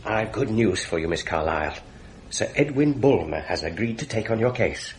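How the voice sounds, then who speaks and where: An actor playing a composed English family solicitor in a radio drama, formal, careful and discreetly firm. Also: male voice, fairly low pitch, lively intonation, moderate pace, slight background noise, close to an everyday voice.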